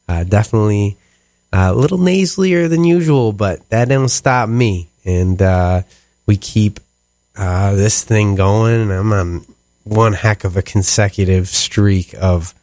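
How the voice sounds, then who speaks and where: A deep, slow-talking man with a nasal, stuffed-up sound hosts a late-night jazz radio show. He rambles easily between tracks, full of "uh"s, on a dry studio mic. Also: a little mellow, no echo. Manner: rambling with many fillers and laughs. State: stuffy, sniffly. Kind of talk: casual radio talk between songs. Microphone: studio microphone.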